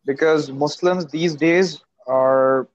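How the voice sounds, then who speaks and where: A man's voice: on the high side, fairly even intonation, no echo. Pakistani man on a dull, dry call. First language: Urdu. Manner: measured, with frequent uh pauses. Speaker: English learner and channel host. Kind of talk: casual video call conversation. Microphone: earphone mic.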